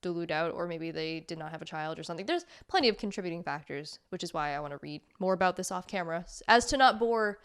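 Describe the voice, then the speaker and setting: A woman co-hosting a podcast in casual chat, talking in rambling, chatty runs full of quick asides. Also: on the low side, a bit bright, smooth. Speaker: podcast co-host.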